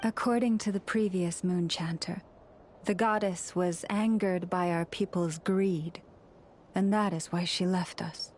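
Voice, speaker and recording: A woman playing a young moon priestess in a video game, solemn, gentle and earnest, speaking in a measured, formal, reverent way. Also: fairly low pitch, steady tone, a little hiss or hum, close to an everyday voice. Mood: solemn, wistful. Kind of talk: video game cutscene acting.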